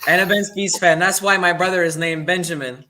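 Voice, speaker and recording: A young man from the United States answers interview questions in short, halting bursts, filling the gaps with "um" and "basically." His voice is bright and a little high. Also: steady tone. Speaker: guest, young racer.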